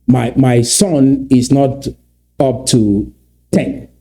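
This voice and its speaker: A man's voice: medium pitch, extremely slow, dark tone. A middle-aged man with a Cameroonian English accent, giving commentary on clips and lecturing with rhetorical questions.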